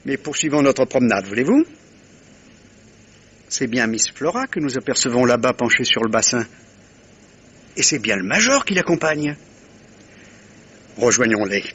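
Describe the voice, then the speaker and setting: An actor playing a shrewd, self-satisfied, courteous middle-aged detective in a vintage French radio mystery, with theatrical, pointed pauses. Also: male voice, mid-range voice, sing-song, a bit bright, husky. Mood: urbane, amused.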